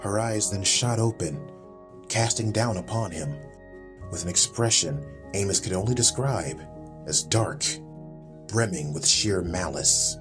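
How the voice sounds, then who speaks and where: A man's voice: low-pitched, a little slow, music in the background. A man reading a scripted, dramatic story as an audiobook narrator.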